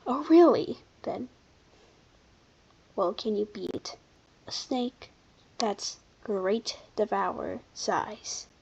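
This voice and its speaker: A commentator giving casual, unscripted video commentary in an informal, halting way, often backtracking. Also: mid-range voice, lively intonation, gravelly, darkish tone, lo-fi sound, slight background noise. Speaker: video creator presenting a ranking.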